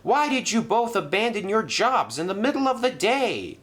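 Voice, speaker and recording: A man's voice: high voice, sing-song, moderate pace, fairly bright tone, pitched above the performer's own voice. A man voicing a stern, fussy male railway controller in a storybook reading, scolding and officious.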